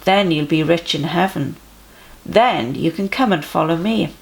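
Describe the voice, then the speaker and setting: A woman voicing a friendly, earnest male teacher in a children's storybook, emphatic and gently instructive. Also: lowish voice, a little slow, creaky. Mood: firm, instructive.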